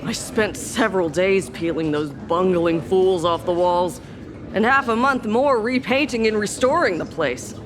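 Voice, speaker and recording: A woman's voice: medium pitch, measured pace, crowd noise, lightly acted. A woman voicing a tired, sarcastic hospital doctor in a game, dry and world-weary. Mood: storytelling. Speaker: game character. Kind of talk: game dialogue. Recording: game audio with music underneath.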